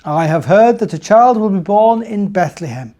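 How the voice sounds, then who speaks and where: A man voicing a big, gruff forest giant with a rumbling voice in a children's story. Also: animated, normal speed, mellow, lo-fi sound. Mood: earnest. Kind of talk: children's storybook character reading.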